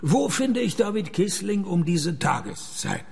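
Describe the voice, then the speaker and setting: World-weary private eye, ironic, gravelly creak, fairly high for a man, radio play. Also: unhurried, exaggerated voice. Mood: sardonic. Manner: dry, deadpan, ironic. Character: world-weary, sardonic middle-aged male private detective narrating his case. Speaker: lead actor and narrator. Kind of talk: noir radio play narration and dialogue.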